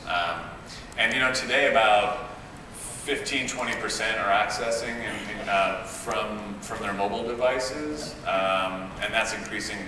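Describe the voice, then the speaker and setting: A man talking casually as a tech executive on a panel, informal and somewhat halting. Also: on the low side. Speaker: tech company CEO, panelist. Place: conference panel stage in a large hall. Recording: echoey hall, distant mic, loud room noise.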